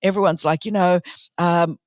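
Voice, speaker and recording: A middle-aged woman with an Australian accent, Greek as her first language, telling stories in a conversational interview with animated emphasis and acted-out quotes. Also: fairly low pitch, normal speed, dry recording. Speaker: podcast host.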